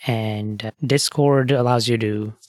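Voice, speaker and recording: A man's voice: mid-range voice, fairly even intonation, measured pace, dry recording, studio quality. A man hosting a podcast, chatting casually and explaining things with asides and fillers. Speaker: podcast host.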